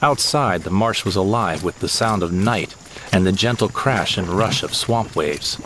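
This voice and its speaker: A man voicing a young sidekick who narrates his space adventure in a steady, descriptive first-person storybook style. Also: sits low, measured pace, slight background noise. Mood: narrating. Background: dramatic score.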